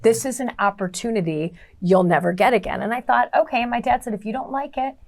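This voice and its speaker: A middle-aged woman telling personal stories as an interview guest, in an animated conversational way, acting out bits of dialogue. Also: on the high side, fairly quick. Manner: animated storyteller, acts out quoted dialogue. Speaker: guest, dating and relationship coach.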